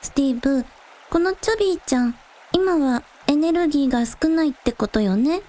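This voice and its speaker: A woman's voice: high voice, expressive, brisk, dark tone. A woman playing a casual, blunt young village idol in a radio drama, with breezy, slangy, matter-of-fact delivery. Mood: amused, blunt. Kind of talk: Japanese radio drama acting.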